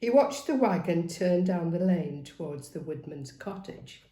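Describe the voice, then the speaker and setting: Slow, expressive middle-aged woman reading a story. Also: on the low side. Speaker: author reading their own book aloud. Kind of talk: dramatic storybook narration. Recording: roomy, echoey home recording.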